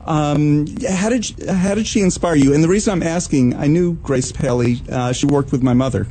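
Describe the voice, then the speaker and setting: A man hosting a radio talk show, chatting in a relaxed way with many ums and uhs. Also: on the high side, moderate pace, rough recording. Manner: relaxed, with filler "um" and "uh". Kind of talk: radio interview chat.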